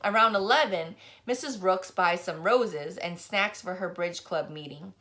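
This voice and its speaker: A woman reading a first-person novel aloud as scripted audiobook narration, with expressive delivery. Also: on the low side, measured pace. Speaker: audiobook reader.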